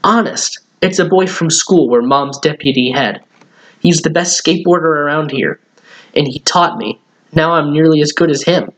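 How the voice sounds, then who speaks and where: A man voicing an excited, eager ten-year-old boy in a children's storybook. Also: fairly high pitch, normal speed, poor audio. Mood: earnest.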